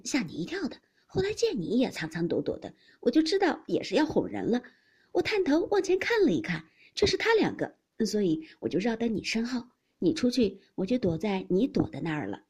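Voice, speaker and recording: A woman's voice: fairly high pitch, dark tone, slightly rough. A woman voicing a playful teenage young master who teases mischievously.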